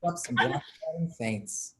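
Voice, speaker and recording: A woman's voice: very deep voice, lively intonation, slow pace. A woman reading out stage directions as scripted narration in a staged play reading.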